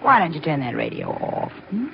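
A woman's voice: extremely low pitch, wildly swooping pitch, a little fast, vocal fry, full character voice. An actress playing a miffed, flirtatious woman on a date, wry and complaining, in 1940s radio acting. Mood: teasing. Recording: dull noisy 1940s radio recording.

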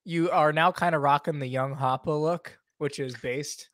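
A young man in relaxed podcast banter, casual and profane. His voice sits a little high and is nursing a cough. The sound is close and dry, with no room around it. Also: moderate pace, no echo. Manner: loose, swearing, laughing banter. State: has a cough. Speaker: podcast co-host. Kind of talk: casual chat.

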